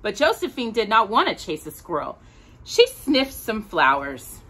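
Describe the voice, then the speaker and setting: A woman reading a children's picture book aloud as storyteller, expressive, drawing out words for a young listener. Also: medium pitch, unhurried, slightly rough.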